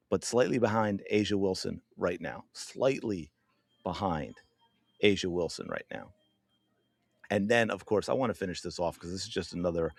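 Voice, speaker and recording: A man hosting a sports podcast who gives opinionated commentary in an emphatic, animated way while addressing his viewers directly. His voice is going hoarse. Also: sits low, no room sound. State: losing his voice.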